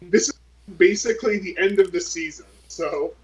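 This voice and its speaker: Guy at rpg table, highish voice, kinda raspy, casual chat. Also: normal speed, lo-fi sound. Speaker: tabletop game player.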